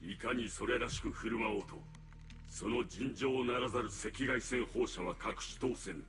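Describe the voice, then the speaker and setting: A haughty warrior from a video game, voiced by a man, stern and pompous in a mid-pitched voice. The speech is formal and archaic, with clear music behind it. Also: fast, lightly acted. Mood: cold, disdainful. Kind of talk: Japanese video game voice acting.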